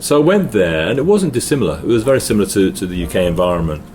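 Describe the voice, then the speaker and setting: A man's voice: fairly low pitch, sing-song, rapid-fire, a little mellow. A middle-aged Englishman with an English accent, a guest in casual chat, telling stories with frequent restarts in a noisy hotel. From England. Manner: storytelling, restarts with repeated words.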